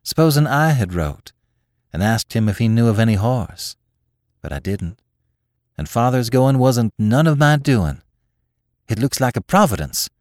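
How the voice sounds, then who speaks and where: Storybook farm wife, played by a man: plain-spoken, firm, homely, lively melodic delivery. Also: lowish voice, a little fast, no room sound, studio quality, natural voice. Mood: muttering resolve. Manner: homely, firm, rustic grammar. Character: resolute middle-aged farm wife and mother, rural plain-spoken.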